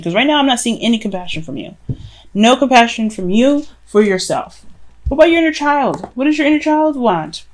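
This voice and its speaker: A woman doing a casual tarot reading, speaking straight to the listener in an earnest, encouraging way with emphatic repetition. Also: high-pitched. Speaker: tarot reader. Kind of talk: casual spoken tarot reading addressed to the viewer.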